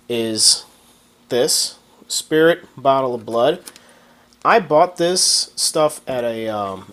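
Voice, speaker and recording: A man with a stuffed-up nose from a cold, chatting through a casual product review in a relaxed way, with frequent ums and restarts. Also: medium pitch. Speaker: video maker reviewing products.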